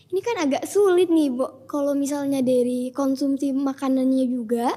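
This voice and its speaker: A woman host with a high, bright-pitched voice chats eagerly, reacting quickly and turning to her viewers. A long reverb trails behind her words. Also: fairly even intonation. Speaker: interview host. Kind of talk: lively interview hosting.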